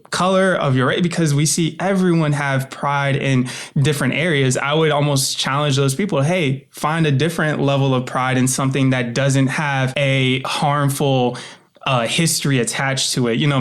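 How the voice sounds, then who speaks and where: A man's voice: mid-range voice, steady tone, normal speed. A man speaking in an informal, reflective way as a podcast guest and producer, in a conversational style. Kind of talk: conversational interview and listener address.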